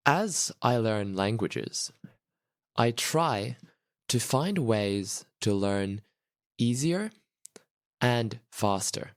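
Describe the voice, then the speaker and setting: A man hosting a podcast for English learners, speaking in careful, clearly scripted narration. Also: fairly low pitch. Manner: careful, deliberate, explanatory. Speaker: podcast host, language teacher.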